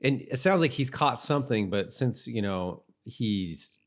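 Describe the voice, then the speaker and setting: A man co-hosting a casual TV rewatch podcast, talking in a halting way and repeating words as he thinks. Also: medium pitch, expressive. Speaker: podcast co-host.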